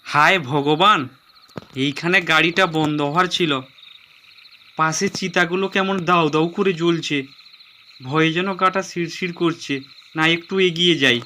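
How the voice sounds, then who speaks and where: A man's voice: fairly high pitch, measured pace, long pauses, sharp tone, slight background noise. A man narrating a dramatized first-person horror story. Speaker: narrator and voice actor.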